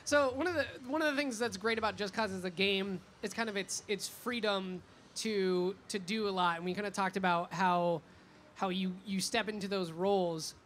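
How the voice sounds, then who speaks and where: A woman's voice: medium pitch, normal speed. Woman, interviewer, mid-range voice, conversational, hesitant phrasing, faint event ambience. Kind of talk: casual interview questions. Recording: noisy convention event background.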